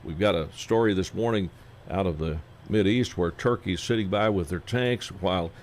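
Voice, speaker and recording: A man hosting a casual radio interview, folksy and halting, with many fillers. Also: low-pitched, a little mellow, a little hiss or hum. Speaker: radio host.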